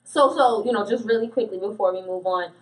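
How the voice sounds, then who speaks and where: A woman journalist hosting a conversational interview. Her voice sits at an average pitch and her phrasing is chatty and informal. She sounds a little away from the microphone. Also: brisk, distant mic.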